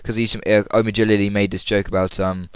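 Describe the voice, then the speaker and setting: A man with a fairly low, clean voice chats casually to himself on a personal audio log. He rambles through his story in an informal way, and the recording is close and dry with little room sound. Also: little pitch movement, a little fast, clear, resonant tone, dry recording. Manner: rambling, acts out quoted lines loudly. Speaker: audience member recapping a comedy show.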